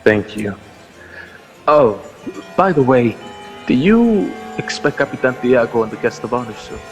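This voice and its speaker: An actor playing a proud, stern army lieutenant with a sardonic edge in a radio drama. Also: male voice, medium pitch, very animated, a little slow. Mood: stiffly courteous. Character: proud, threatening army lieutenant loyal to the governor. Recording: long hall reverb, music underneath.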